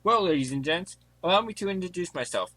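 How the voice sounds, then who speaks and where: Man acting a bright-voiced formal butler detective. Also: high voice, animated, quick pace, no echo, exaggerated voice. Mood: businesslike. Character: polite butler who is an undercover police detective. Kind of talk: fan audio drama acting.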